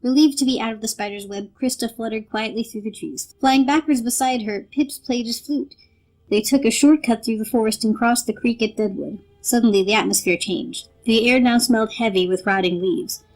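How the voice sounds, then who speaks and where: Woman narrating a storybook over soft music. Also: a little high, moderate pace, rough recording.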